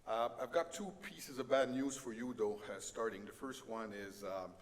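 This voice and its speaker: A middle-aged man with a French Canadian accent gives a conference presentation in a halting way, with many fillers and light self-deprecating humor. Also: medium pitch, fairly even intonation. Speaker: conference speaker. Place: conference hall. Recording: reverberant conference hall with faint noise.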